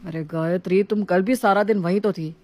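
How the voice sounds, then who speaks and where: A woman's voice: medium pitch, normal speed, darkish tone, natural voice. A woman voicing a reasonable, mildly exasperated young husband in a story, reasoning and reproaching. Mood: reproachful.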